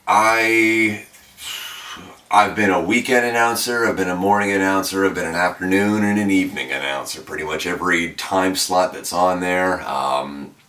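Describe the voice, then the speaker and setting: Deep-voiced young man, echoey room. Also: normal speed. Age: 20s. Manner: relaxed, reflective, with fillers. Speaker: radio announcer. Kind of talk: documentary interview. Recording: echoey room, mic at a distance.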